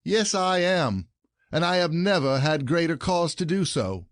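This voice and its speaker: An actor playing an aggrieved, scheming husband in a classic stage farce, declaiming formally and indignantly. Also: male voice, on the high side, animated, measured pace, dry recording, clean studio sound. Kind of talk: classic comedy audio play acting.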